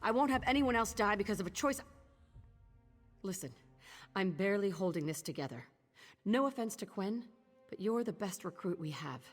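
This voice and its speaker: A woman's voice: mid-range voice, animated, normal speed, pauses often, breathy edge. An actress playing a weary, guilt-ridden woman who leads a thieves' guild in a video game, speaking in an earnest, terse, commanding way. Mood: stern, worried. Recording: long reverb, music behind.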